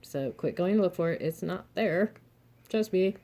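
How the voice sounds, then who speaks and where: A young adult woman, a little hoarse and throaty, chatting frankly to her viewers with advice, laughing often and cursing mildly. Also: lowish voice, normal speed, warm tone. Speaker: vlogger, single mother and reseller. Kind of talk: casual advice monologue to camera.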